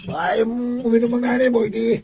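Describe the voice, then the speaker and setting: An actor playing a rowdy, pushy neighbour who drinks, loud and teasing, in a Filipino radio drama. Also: male voice, extremely high pitch, completely flat delivery, fairly quick, vocal fry. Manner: loud, teasing, insistent, often exclaiming.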